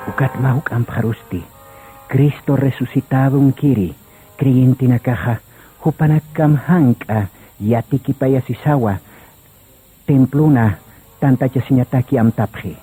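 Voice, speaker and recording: Dark-voiced man narrating a radio drama. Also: medium pitch, unhurried, long pauses, slightly rough, rough recording. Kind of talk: scripted dramatic narration in Aymara radio serial.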